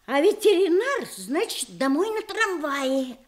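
A woman's voice: sits high, big pitch swings, unhurried. An actress playing a gossipy, motherly older housekeeper in a radio drama, talking in an emphatic, homespun way. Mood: relishing gossip. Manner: colloquial, emphatic, storytelling housewife talk.

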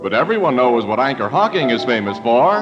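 Old timey radio announcer guy, lively delivery, music behind, muffled recording. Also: mid-range voice, fairly quick. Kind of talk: 1940s radio announcing, scripted. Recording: muffled vintage radio broadcast.

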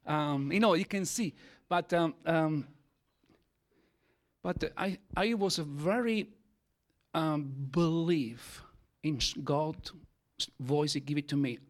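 A man whose first language is Italian, speaking English with an Italian accent as he gives a church testimony in halting speech full of fillers. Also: a little high. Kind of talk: testimony sermon.

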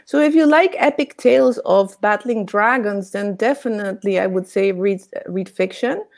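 A woman's voice: medium pitch, moderate pace, gravelly. A middle-aged woman from Argentina, a podcast guest talking reflectively and at length. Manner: reflective, expansive, laughs easily. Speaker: podcast guest, innovation consultant and coach. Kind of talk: conversational podcast interview.

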